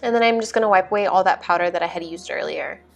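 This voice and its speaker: A woman's voice: fairly low pitch, fast, vocal fry. Casual young woman vlogger, music behind. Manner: informal, chatty, frequent "like". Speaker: vlog host. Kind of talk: casual get-ready-with-me makeup narration.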